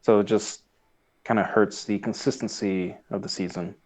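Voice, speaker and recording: A man's voice: fairly low pitch, steady tone, normal speed, darkish tone. A man co-hosting a podcast, giving his review casually and hesitantly as he thinks aloud, on muffled audio. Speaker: podcast host.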